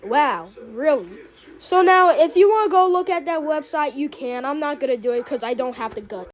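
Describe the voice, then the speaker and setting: A woman's voice: high-pitched, animated, moderate pace, a crowd in the background. A woman giving a casual, rambling recap as host of a reaction video, in informal, slangy language.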